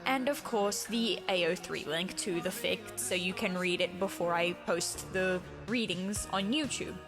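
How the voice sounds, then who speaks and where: A woman's voice: on the low side, unhurried. A woman who reads her own horror fan story as narrator and chats casually as its author. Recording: music under the voice.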